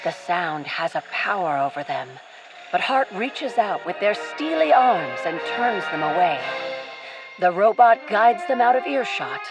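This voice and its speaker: A woman's voice: medium pitch, sing-song, measured pace, rough recording. A woman narrating a scripted radio play with theatrical, suspenseful adventure-serial delivery. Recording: loud music behind. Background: loud music.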